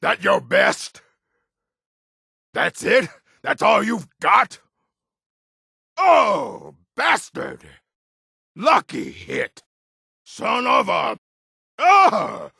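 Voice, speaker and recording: An actor playing a gruff, aggressive orc warrior in a video game, with a rough, gravelly voice and a blunt, menacing manner. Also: male voice, high voice, wildly swooping pitch, drawn-out words, long pauses, sharp tone, dry recording, studio quality, full character voice. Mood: combative, shouting. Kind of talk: video game character barks.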